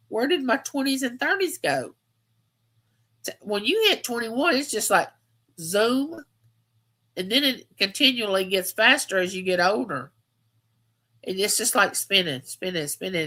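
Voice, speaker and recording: Bright-voiced chatty woman in her fifties. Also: medium pitch. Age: 50s. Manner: chatty, storytelling, repeats phrases for emphasis. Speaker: livestream host. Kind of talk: casual live chat.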